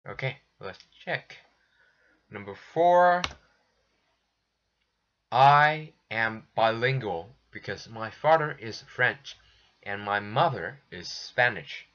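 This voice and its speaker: Slow patient male English teacher. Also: fairly low pitch, animated, fairly bright tone. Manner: patient, slow, restarts often. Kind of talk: recorded textbook lesson walkthrough. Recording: dull lo-fi sound.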